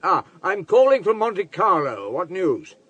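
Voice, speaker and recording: An actor in a radio drama playing a terse, commanding police chief who gives clipped, matter-of-fact orders. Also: male voice, high voice, big pitch swings, unhurried, fairly bright tone, breathy edge, full character voice. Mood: brisk, dry.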